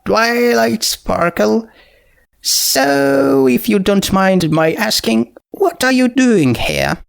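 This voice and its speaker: A man's voice: very high voice, expressive, slow, vocal fry, pitched above the performer's own voice, heavily acted. A man voicing a sceptical young female pony princess who speaks hesitantly and with awkward politeness. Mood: awkward, puzzled.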